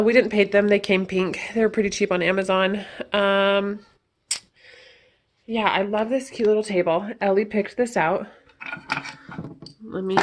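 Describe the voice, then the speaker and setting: A woman's voice: medium pitch, a little hiss or hum. Stuffed-up woman chatting on a home tour. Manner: chatty, rambling, frequent ums. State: stuffed-up nose. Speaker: vlogger. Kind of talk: casual home tour vlog. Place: family home, kitchen and kids' bedroom, indoors. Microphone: handheld camera at arm's length.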